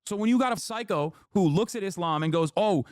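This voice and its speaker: Heated man ranting, high-pitched. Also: brisk, no room sound, clean studio sound. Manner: heated, repeats "okay?" for emphasis. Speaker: streamer in a played clip. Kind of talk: ranting monologue.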